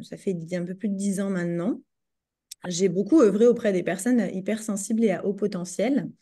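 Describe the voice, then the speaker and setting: Woman, French accent, research presentation, hesitant, many fillers, mellow voice, middle pitch, video call. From France. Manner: hesitant, frequent fillers and restarts. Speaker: psychologist presenting her doctoral research. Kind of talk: academic presentation.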